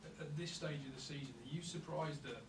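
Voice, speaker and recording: A journalist asking a quiet, matter-of-fact question at a press conference. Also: male voice, on the high side, brisk, far from the mic, noisy background.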